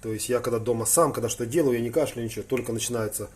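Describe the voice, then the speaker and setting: A man giving a casual, rambling hobby vlog in colloquial, slangy speech, thinking aloud and often clearing his throat between phrases. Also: medium pitch, steady tone, rapid-fire. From Ukraine. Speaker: hobby aquarium vlogger. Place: indoors in a room with aquariums. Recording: noticeable background noise.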